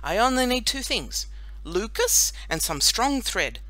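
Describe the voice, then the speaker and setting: Woman acting cocky, bright-voiced little farm boy. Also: fairly high pitch, sing-song, measured pace, no room sound, pitched above the performer's own voice, heavily acted, poor audio. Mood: eager, confident. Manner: boastful, eager. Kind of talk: children's audiobook character voice.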